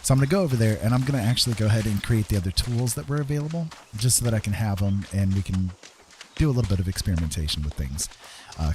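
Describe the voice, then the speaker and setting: A man's voice: lowish voice, a little mellow, slight background noise. A man giving casual gameplay commentary, thinking aloud and often tacking little questions onto his sentences. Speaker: game streamer.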